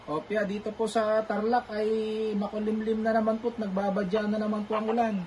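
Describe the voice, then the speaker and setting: A man's voice: high voice, level tone, brisk, noisy background. A polite man making a casual two-way radio call as an amateur operator, repeating himself for clarity. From Philippines.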